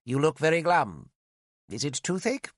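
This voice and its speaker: A man's voice: on the high side, big pitch swings, moderate pace, no room sound, clean studio sound, pitched above the performer's own voice. A male narrator voicing an old, vain and cantankerous wizard who mocks and lectures in a sardonic way. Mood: teasing. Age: older. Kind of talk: audiobook character voice.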